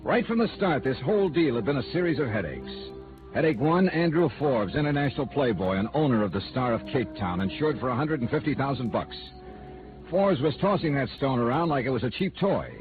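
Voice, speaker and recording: An actor playing a wry, hard-boiled insurance investigator, narrating in dry, clipped 1950s radio noir style. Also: male voice, medium pitch, expressive, brisk, lightly acted. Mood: wry narration. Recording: tinny old radio recording with music.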